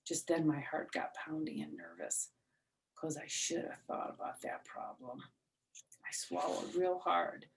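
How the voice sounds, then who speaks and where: A woman's voice: low-pitched, very animated, unhurried, vocal fry, slight background noise, rough recording. A woman reading a children's book aloud expressively as a storyteller, with explanatory asides.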